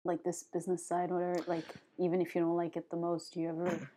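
A woman's voice: lowish voice, completely flat delivery, fast, dark tone. A young woman hosting a podcast interview, asking long, hesitant questions full of filler words in a casual chat. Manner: rambling, heavy "like" fillers, hesitant. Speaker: podcast host.

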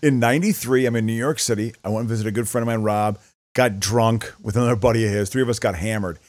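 A man's voice: fairly low pitch, fairly even intonation, fast, a little mellow. A middle-aged man from Chicago, chatting casually as a podcast co-host and rambling through stories with stammered restarts. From Chicago, USA. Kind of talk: casual chat.

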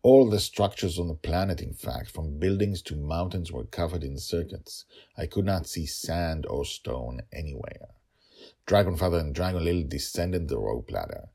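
A man with a non-native English accent that catches on L's and R's, telling a story in a theatrical, emphatic, husky way as narrator. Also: low voice, animated, normal speed, dark tone. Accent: non-native English accent, trouble with L's and R's. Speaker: host, writer and narrator of a serial story podcast.

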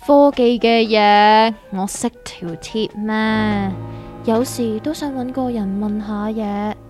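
A woman's voice: medium pitch, fairly even intonation, takes it slowly, darkish tone, soft background music, close to an everyday voice. A woman with a Hong Kong accent playing a cheeky, curious novice investor, exclamatory and teasing, in a comic radio drama. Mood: curious, sceptical.

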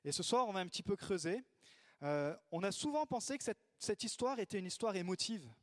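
A man preaching a sermon in a lively way, acting out the voices he quotes. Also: high-pitched, fairly quick, no echo. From Italy. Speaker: pastor.

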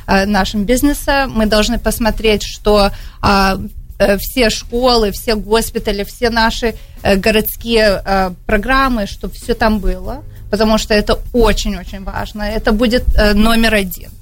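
A woman's voice: medium pitch, steady tone, normal speed. A woman with an American accent, a candidate answering radio interview questions, hesitant and often searching for words. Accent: American English. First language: English. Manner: hesitant, searching for Russian words, many fillers. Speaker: city council candidate. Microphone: studio microphone.

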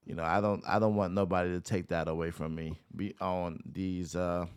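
Deep-voiced laid-back man, casual. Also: level tone, normal speed, smooth. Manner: laid-back, many "man" and "bro" tags. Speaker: podcast co-host. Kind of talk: casual podcast chat.

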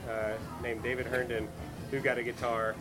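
Man, southern georgia accent, easygoing, rambling, mid-pitched, music playing behind. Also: little pitch movement, moderate pace. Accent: Southern US, Valdosta Georgia. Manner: easygoing, rambling with fillers and restarts. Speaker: guest author and musician. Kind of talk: casual chat.

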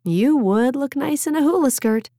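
A woman voicing a practical, gentle but firm teenage girl in an audiobook, frank and decisive. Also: high-pitched, animated, normal speed, no room sound, clean studio sound, pitched above the performer's own voice. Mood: teasing. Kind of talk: audiobook character dialogue.

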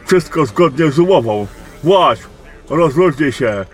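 An actor playing a bluff, bawdy camp guard in a fantasy audio drama, with a joking manner. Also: male voice, on the high side, animated, unhurried, faint music behind.

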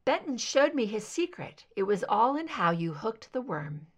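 A woman voicing a skeptical, guarded female investigator in an audiobook, measured and matter-of-fact. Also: a little high, big pitch swings. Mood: candid, reminiscing. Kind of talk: fan fiction audiobook dialogue.

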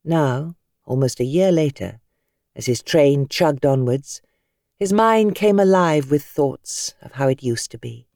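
A British woman reading fiction as an audiobook narrator, with measured, expressive, dramatic storytelling. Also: sits low, dry recording, clean studio sound.

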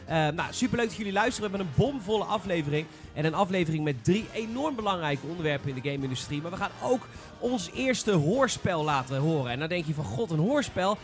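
A man's voice: high-pitched, animated, fast talker, few pauses, faint music behind. A man hosting a casual gaming podcast in an informal, enthusiastic manner. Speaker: podcast host.

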